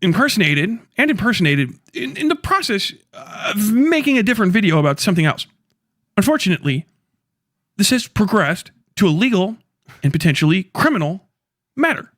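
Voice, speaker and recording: Man, high pitch, creaky edge, bright forceful tone, very animated, dry sound, reading aloud. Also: a little fast, no room sound.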